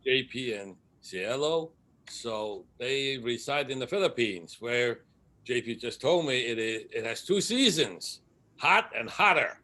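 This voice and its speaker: A man's voice: mid-range voice, expressive, a little slow, bright, forceful tone. A man hosting a casual online interview, talking informally. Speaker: interview host.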